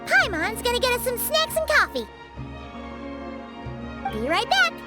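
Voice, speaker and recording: A tiny fairy companion from a video game, with an extremely high, childlike squeak. The delivery is excitable and easily flustered, swinging widely in pitch over prominent background music. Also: female voice, a little slow, heavily acted. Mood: apologetic, eager. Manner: refers to self in third person, exclamatory. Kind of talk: video game character voice acting.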